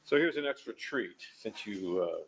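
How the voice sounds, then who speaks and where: A judge in court giving rulings and instructions to counsel and the jury in a measured, formal way. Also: male voice, on the low side, animated, gravelly, reverberant. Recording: noisy courtroom feed.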